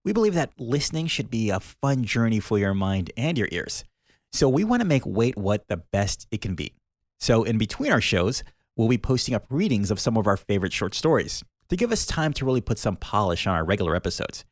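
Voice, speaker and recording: Man reading aloud with lively pitch. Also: a little fast, no room sound, studio quality. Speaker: podcast host and reader. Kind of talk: reading a short story aloud.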